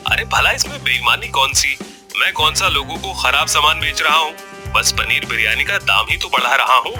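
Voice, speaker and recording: A man playing a greedy, smooth-talking village biryani seller in a cartoon story, with emphatic, expressive delivery. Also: medium pitch, moderate pace, soft background music. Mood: scornful, argumentative. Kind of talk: Hindi cartoon story voice acting.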